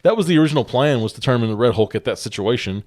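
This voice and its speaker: A man's voice: medium pitch, animated, very fast, a little mellow. A man co-hosting a podcast, chatting casually and rambling with many fillers and restarts. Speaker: podcast co-host. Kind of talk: casual chat.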